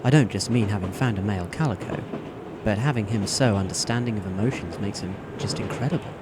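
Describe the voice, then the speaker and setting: A man voicing a polite, smooth-talking teenage boy in a fan audio drama. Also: fairly low pitch, fast talker, heavy background noise, natural voice.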